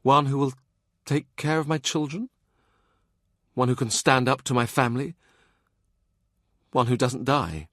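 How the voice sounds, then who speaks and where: Casting: man playing a young noble widow and mother, higher-pitched, aggrieved yet wistful, for a historical novel audiobook. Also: unhurried, long pauses, a bit bright, no room sound, studio quality.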